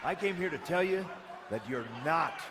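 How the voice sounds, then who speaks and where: A man's voice: on the high side, expressive, moderate pace, lightly acted. Actor as firm male wrestling manager, noisy venue. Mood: emphatic, challenging. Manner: earnest, persuasive. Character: firm, supportive male wrestling general manager and old friend. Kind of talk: video game cutscene acting. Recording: noisy venue with crowd behind.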